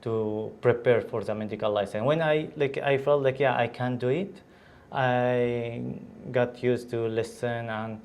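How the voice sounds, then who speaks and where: Syrian male doctor, English, halting casual interview answers. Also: medium pitch, steady tone, a little mellow, slight background noise. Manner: hesitant, many restarts and fillers. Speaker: guest, physician.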